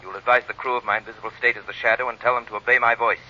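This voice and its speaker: An actor in a vintage radio drama playing a calm, invisible male crime fighter with a formal, authoritative, measured manner. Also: mid-range voice. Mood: calm authority. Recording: muffled, noisy old radio recording.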